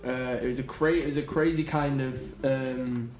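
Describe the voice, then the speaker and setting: A man's voice: medium pitch, fairly even intonation, normal speed. A man hosting a casual interview, encouraging and full of banter. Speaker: host. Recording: echoey studio with music behind.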